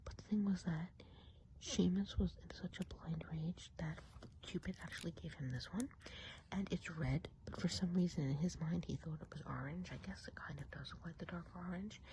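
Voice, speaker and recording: A woman whispering softly and breathily in a chatty, confiding ASMR roleplay as a gossipy witch of the woods. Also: on the low side, slight background noise, low-quality recording. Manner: confiding, unhurried, casual gossip. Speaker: ASMR performer.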